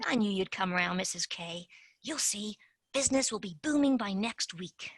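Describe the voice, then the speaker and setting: A woman's voice: mid-range voice, lively intonation, moderate pace, no room sound, clean studio sound. A woman playing a brash, scheming female tooth fairy agent in a stage play. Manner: brash, wheeling-dealing.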